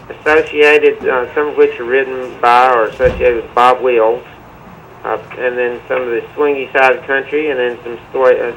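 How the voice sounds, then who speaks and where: A man from Middle Tennessee answers interview questions in an easy, unhurried way, often pausing on "uh". His voice has a gravelly edge, and a faint hiss sits under it. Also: mid-range voice, lo-fi sound. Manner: relaxed, many "uh" fillers. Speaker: musician guest. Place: remote link to a TV studio.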